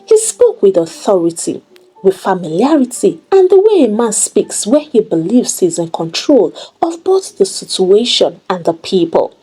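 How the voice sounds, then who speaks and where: A woman narrating a dramatic scripted story in an expressive, deliberate storytelling manner. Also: mid-range voice, husky, rough recording.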